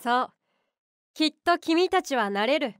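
A woman's voice: high voice, animated, measured pace. A woman voicing a small boyish unicorn guardian beast in an anime-style voice drama, speaking as a composed, knowing mentor. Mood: calm, encouraging.

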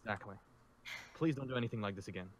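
A man's voice: fairly low pitch, rapid-fire, a little hiss or hum, natural voice. A man playing a level-headed, peacemaking survivor in an improvised zombie roleplay, reasoning and placating. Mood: earnest.

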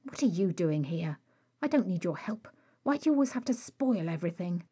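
A woman's voice: on the low side, very animated, fairly quick, dark tone, slightly rough. A woman voicing a petulant, teasing male vampire with a whiny, indignant way of protesting, in an audio story. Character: petulant, defiant, teasing male vampire, reckless party lover.

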